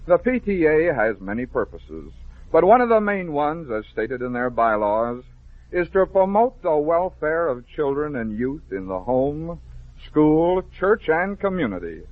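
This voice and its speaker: An American man narrating a scripted story for children as a 1950s radio host, phrasing it clearly and dramatically. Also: on the high side, sing-song, a little slow, dry recording. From American Midwest. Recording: dull, noisy vintage 1950s radio recording.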